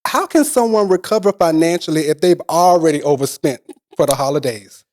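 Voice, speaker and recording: Relaxed, chatty male podcast host from Florida with a high voice. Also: brisk. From Florida, USA. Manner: relaxed and chatty, tags lines with "right?". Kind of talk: casual podcast conversation.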